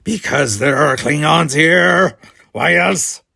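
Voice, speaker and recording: A man acts out a hot-tempered Klingon colonist in an audiobook. He sounds gravelly and rough, sneering with open contempt and quick to accuse. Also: fairly high pitch, sharp tone, no room sound, studio quality, voice pushed up, heavily acted. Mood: angry accusing. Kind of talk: audiobook character voice.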